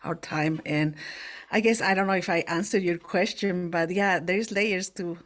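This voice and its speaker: A woman from Latin America, a guest on an interview podcast, who speaks earnestly with frequent pauses and restarts. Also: fairly low pitch. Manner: halting, many fillers and restarts, earnest. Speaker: guest, immigration affairs official. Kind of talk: casual interview.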